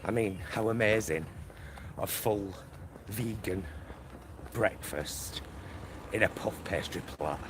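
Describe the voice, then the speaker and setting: A man's voice: on the low side, slow pace, pauses often. A man chatting casually to his phone on a selfie stick while walking through his neighbourhood, rambling with lots of fillers. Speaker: vlogger. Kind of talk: casual walking vlog chat. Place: outdoors on a street in his neighbourhood. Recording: phone recording with street noise. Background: traffic and street noise.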